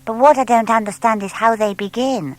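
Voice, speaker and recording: A woman's voice: fairly high pitch, brisk, no echo, voice pushed up, close to an everyday voice. Woman voicing a small, eager, childlike young boy owl. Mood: earnest. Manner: childlike, hesitant when flustered, blunt. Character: small eager young male barn owl, proud, indignant. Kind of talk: children's audiobook character voice.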